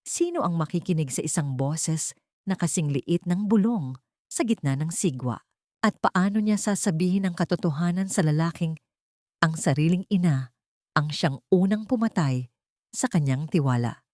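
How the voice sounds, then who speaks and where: A woman's voice: lowish voice, expressive, moderate pace, dark tone, dry recording, studio quality. A woman reading a scripted, dramatic audiobook as a storyteller.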